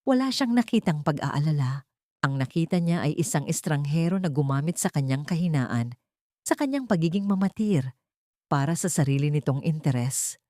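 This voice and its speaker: A woman narrating a dramatic audiobook in a steady, somber storytelling manner. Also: sits low, normal speed, dry recording, studio quality.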